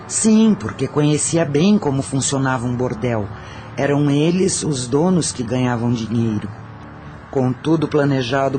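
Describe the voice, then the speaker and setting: A man with a Brazilian accent narrating a scripted first-person audio story. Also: mid-range voice.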